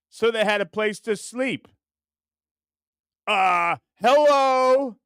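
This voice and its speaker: A man's voice: high voice, fairly bright tone, clear, resonant tone, no echo, clean studio sound, exaggerated voice. A man voicing a whiny, entitled puppet comedian in an exaggerated, self-important parody monologue. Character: whiny, entitled, high-voiced puppet comedian, indignant diva.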